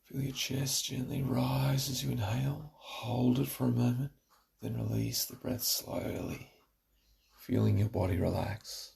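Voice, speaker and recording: A man narrating a scripted guided relaxation in a soothing, deliberate, instructional way, with a gravelly voice. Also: medium pitch, fairly even intonation, a little mellow.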